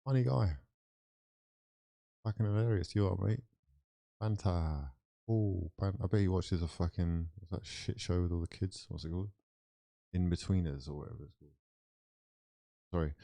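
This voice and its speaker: A man with a low, dark voice hosts a live football stream, trading sarcastic, swear-laden banter with callers. The sound is dry and close to the mic. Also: normal speed, creaky, long pauses, dry recording. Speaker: watchalong stream host. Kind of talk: casual chat with callers.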